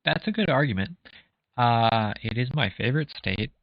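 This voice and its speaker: A man's voice: mid-range voice, expressive, moderate pace, no echo. A young man from Minnesota streaming a game, joking dryly while he plays. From USA, Minnesota. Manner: dry, joking, talks while playing. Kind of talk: casual gaming stream chat. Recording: muffled lo-fi mic.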